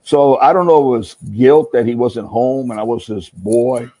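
Husky, mellow middle-aged guest. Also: male voice, medium pitch, normal speed, dry recording. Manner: rambling, emphatic, with restarts. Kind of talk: casual storytelling.

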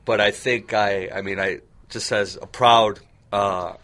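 A man speaks as a radio guest, talking earnestly and proudly about his work. His voice is fairly low but bright and forward, picked up in a studio. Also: fairly even intonation, measured pace. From United States. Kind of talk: casual interview talk.

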